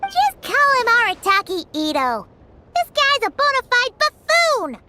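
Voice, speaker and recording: Casting: tiny hovering game sidekick, very high and squeaky, bossy, swoops all over, unhurried, game music behind. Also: female voice, wildly swooping pitch, bright, forceful tone, a little hiss or hum, full character voice. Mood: indignant. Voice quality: squeaky, indignant. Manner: speaks of herself in third person. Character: small hovering female companion, bossy and excitable. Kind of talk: game cutscene voice acting.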